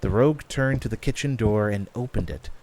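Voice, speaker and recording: A man's voice: lowish voice, brisk, darkish tone, a little hiss or hum, low-quality recording. A man working as narrator, reading a scripted fantasy story. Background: background music.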